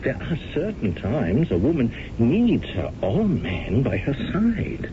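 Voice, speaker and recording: A flamboyant stage director, all doting charm and little chuckles, his voice bouncing up and down and sitting a touch high. He comes through a muffled old radio broadcast with a steady hiss behind him. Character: effusive, fussing male theater director. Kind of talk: 1970s radio drama acting.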